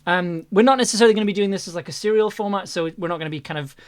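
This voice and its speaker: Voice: mid-range voice, rapid-fire, smooth. A livestream host chatting casually and a little hesitantly, often repeating phrases while wrapping up a show. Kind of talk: casual chat, closing out a livestream.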